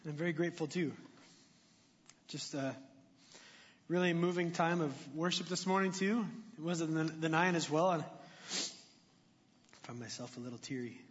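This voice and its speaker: A man preaching in a church sanctuary, chatting and joking with the congregation between points. His voice sits high for a man. The sound is muffled, with a long reverb trailing off each phrase. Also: normal speed, long pauses, breathy edge. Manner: conversational, jokey, asks the congregation questions. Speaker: pastor. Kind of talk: sermon.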